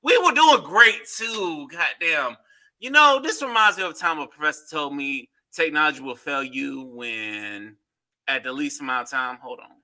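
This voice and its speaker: A man's voice: on the high side, animated, normal speed, pushed and bright, low-quality recording. A man hosting a podcast, talking loosely in casual chat and swearing freely. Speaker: podcast host.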